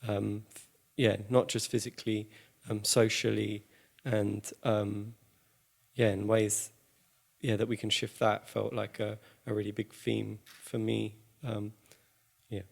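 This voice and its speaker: Hesitant man with a slow, creaky voice. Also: lowish voice, level tone. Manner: hesitant, halting, searching for words. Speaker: panel participant. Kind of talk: informal spoken reflection at a public panel.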